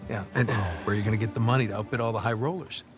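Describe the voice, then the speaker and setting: An actor playing a skeptical, wry American adventurer in a video game, speaking conversationally in a naturalistic style. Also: male voice, on the low side, racing, music in the background, lightly acted. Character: wry American adventurer, reluctant retired treasure hunter.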